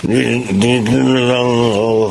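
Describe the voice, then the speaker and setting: An actor playing a hard-drinking married older brother in a Tagalog radio drama. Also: male voice, medium pitch, little pitch movement, measured pace, creaky, slight background noise, rough recording. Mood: drunk, drowsy.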